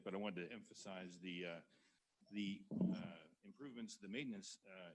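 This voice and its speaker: A man presenting an engineering report at a town council meeting, speaking haltingly with many pauses and fillers. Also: low voice, low-quality recording. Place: council chamber.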